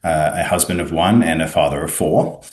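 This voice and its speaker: A man in his thirties with an Australian accent, a guest in a casual interview, talking haltingly with many fillers and restarts. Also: a bass voice, a little mellow, roomy sound. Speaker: guest, academic and author.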